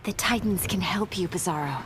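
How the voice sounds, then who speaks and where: A woman's voice: lowish voice, normal speed, noisy background, close to an everyday voice. A woman voicing an upbeat young alien warrior princess in a video game, lighthearted and confident. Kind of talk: video game character dialogue.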